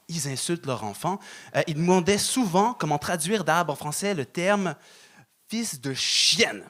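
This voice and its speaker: A young man doing stand-up on a club stage, deadpan, stalling with fillers. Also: a little high. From Moroccan family. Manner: deadpan, halting with many fillers. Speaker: stand-up comedian. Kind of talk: stand-up set.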